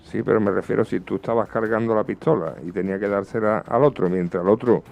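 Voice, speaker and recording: A low-voiced man with a gravelly creak takes part in casual radio chat. He interjects and questions in an informal way. The audio is somewhat dull. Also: steady tone, fast. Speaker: radio panelist.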